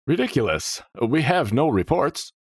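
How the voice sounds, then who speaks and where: A man's voice: mid-range voice, very animated, measured pace, dry recording, studio quality, pitched above the performer's own voice. A man voicing panicky, indignant court counselors and guards, exclaiming in alarm in a fantasy story. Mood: scornful.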